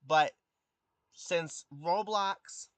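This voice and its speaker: A young man in his twenties talks casually to his stream viewers. His voice is light and youthful, and it sits a little higher than most men's. He pauses often with ums, and the sound is close and dry. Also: fairly bright tone, no echo. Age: 20s. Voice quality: youthful voice that has not deepened. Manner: hesitant, many ums and restarts, answers chat questions. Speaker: live streamer.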